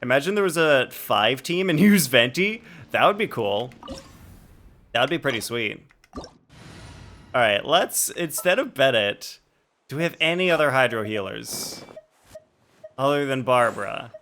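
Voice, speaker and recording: Bright, animated man streaming a game. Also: mid-range voice, a little slow, long pauses, a little hiss or hum. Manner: rambling, thinking aloud. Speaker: video game livestreamer. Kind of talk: casual live gaming chat and commentary.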